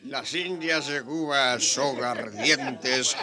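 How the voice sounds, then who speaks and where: An actor playing a rowdy, cruel, lecherous drunken conquistador in a radio drama, raucous and jeering. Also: male voice, medium pitch, drawn-out words. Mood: gloating, jeering. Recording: noisy crowd behind the voice. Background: rowdy crowd.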